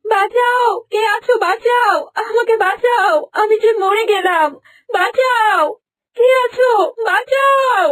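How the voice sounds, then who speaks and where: Very shrill, pleading cartoon maiden. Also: female voice, slow pace, a bit bright, exaggerated voice, rough recording. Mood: desperate, crying. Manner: wailing, begging. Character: helpless, pleading young stepdaughter, desperate and submissive. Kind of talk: cartoon fairy-tale voice acting.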